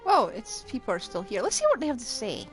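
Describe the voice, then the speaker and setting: High, wildly animated woman streamer. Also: fast talker, faint music behind. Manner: exclamatory, playful. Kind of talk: casual live gameplay reaction.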